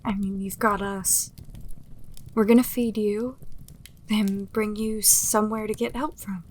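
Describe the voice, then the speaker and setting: A woman playing a caring, protective friend with soft delivery in a fantasy audio drama. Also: mid-range voice, little pitch movement, unhurried, a little hiss or hum, lightly acted. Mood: concerned, gentle.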